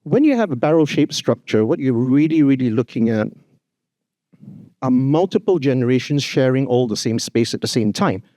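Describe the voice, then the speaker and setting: A man's voice: medium pitch, expressive, normal speed, mellow, no room sound. A man giving a formal opening address at a conference, speaking earnestly and emphatically, often adding "you know" and "actually". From Singapore. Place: hotel conference hall.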